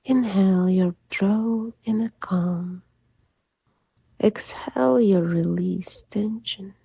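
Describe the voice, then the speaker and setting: A woman leading a guided sleep meditation in a soft, soothing, gentle voice that often drops to a breathy near-whisper. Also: medium pitch, slow pace, no room sound, lo-fi sound. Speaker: hypnotherapist guide.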